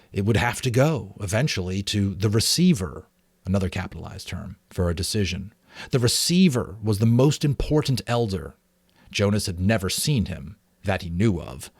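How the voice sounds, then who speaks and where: A man reading a novel aloud as a dramatic audiobook, expressive, with offhand asides. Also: deep voice, husky, no room sound, studio quality. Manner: expressive storytelling. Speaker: amateur audiobook reader.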